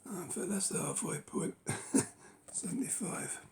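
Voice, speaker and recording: A man with a hoarse, gravelly voice that keeps dropping to a half-whisper, musing unhurriedly over his book collection with many ums and rights. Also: sits high, lively intonation, measured pace, a little mellow. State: losing his voice. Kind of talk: casual collection walkthrough.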